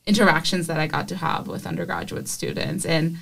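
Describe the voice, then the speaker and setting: Measured young woman from Nepal, lowish voice. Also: steady tone, vocal fry, fairly bright tone. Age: young adult. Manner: measured, thoughtful, with frequent um fillers. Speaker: assistant professor of chemical engineering, guest. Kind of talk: casual interview answers.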